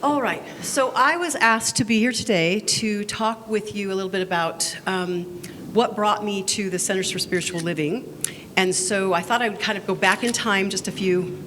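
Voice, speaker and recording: A woman stands before a congregation and shares her spiritual journey in a candid, conversational way, with frequent hesitant "um"s. A long reverb fills the sanctuary, and faint room noise sits underneath. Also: mid-range voice. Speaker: board president giving a testimony. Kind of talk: personal spiritual testimony. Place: church sanctuary.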